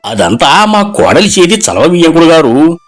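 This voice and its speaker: An actor voicing a proud, welcoming village father-in-law in an animated storybook. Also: on the high side, normal speed, faint music behind.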